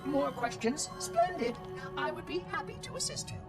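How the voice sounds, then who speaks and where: A small floating robot caretaker, high and sing-song, prim, polite and cheerful. It speaks precisely in a game cutscene, somewhat far off under loud music. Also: male voice, wildly swooping pitch, normal speed, gravelly, full character voice. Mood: chipper, polite. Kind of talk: video game cutscene character acting.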